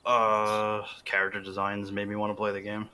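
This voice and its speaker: A man in his twenties chatting casually while playing a game, crude and quick to react. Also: on the low side, monotone, pushed and bright, slight background noise. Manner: foul-mouthed, reactive.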